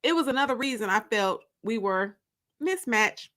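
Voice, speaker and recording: A woman narrating a first-person story aloud in an expressive, confiding, emphatic way. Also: a little high, normal speed.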